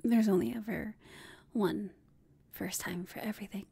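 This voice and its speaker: A woman playing a flirtatious, smug female villain who taunts an enemy in a breathy whispered ASMR roleplay. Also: medium pitch, dark tone, exaggerated voice. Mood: flirtatious teasing. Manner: slow, teasing, smug.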